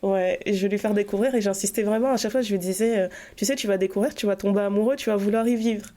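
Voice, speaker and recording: A woman from northern France, in her thirties, speaks with a warm, mellow voice at an everyday pitch. She tells her story in a relaxed, rambling way, full of fillers and restarts, as a podcast guest. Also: quick pace. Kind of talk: casual storytelling chat.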